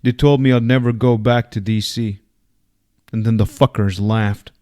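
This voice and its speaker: A man's voice: lowish voice, fairly even intonation, moderate pace, warm tone, natural voice. A man voicing a bitter, wary male petty thief in a story reading, with terse, guarded, slangy talk.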